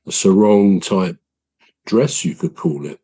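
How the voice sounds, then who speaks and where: A man with a deep voice, hosting a podcast and explaining things at length in a casual, measured way. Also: warm tone, breathy edge. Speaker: podcast host.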